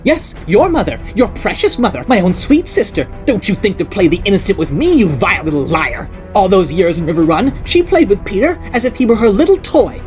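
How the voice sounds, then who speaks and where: A woman voicing an unhinged, jealous middle-aged noblewoman who rants and accuses in a shrill voice. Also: big pitch swings, brisk, few pauses, a little mellow, soft background music, natural voice. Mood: ranting. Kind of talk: audiobook character voice.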